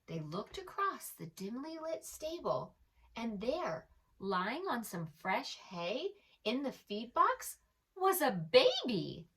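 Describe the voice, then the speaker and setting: A woman storyteller reading aloud to preschoolers in an expressive way, pausing to address the young listener directly. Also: high-pitched, distant mic. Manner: slow, animated, addresses the young listener with questions. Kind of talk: expressive children's read-aloud.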